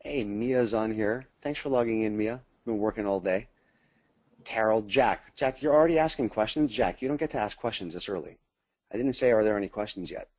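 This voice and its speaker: A man's voice: lowish voice, fairly quick, darkish tone, dry recording. An American man leading a casual webinar as a sales trainer, chatting informally and speaking straight to his attendees.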